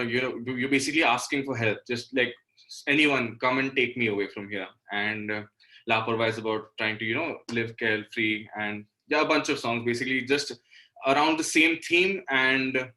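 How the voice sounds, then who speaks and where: Male, 20s, Indian accent, singer-songwriter interview guest. Bright voice, average pitch, reflective and explanatory, conversational. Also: poor audio. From Delhi, India. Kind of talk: casual interview talk.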